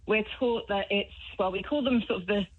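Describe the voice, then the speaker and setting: A British woman calling a radio show, explaining her view in a measured, conversational way. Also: mid-range voice, no room sound. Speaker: phone-in caller, vegan activist. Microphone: phone. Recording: thin, narrow telephone line.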